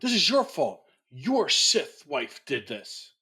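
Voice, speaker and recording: An actor playing an angry, accusing male Jedi master with a rough, husky voice in an audio drama. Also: a little high, huge pitch swings, slow pace, bright, forceful tone, soft background music, exaggerated voice. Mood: furious.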